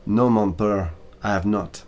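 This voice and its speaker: A man plays a loyal young gentleman son from an old-fashioned storybook. He is earnest and devoted, with formal, stout-hearted delivery. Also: on the low side, a little slow, close to an everyday voice. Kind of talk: storybook reading with character voices.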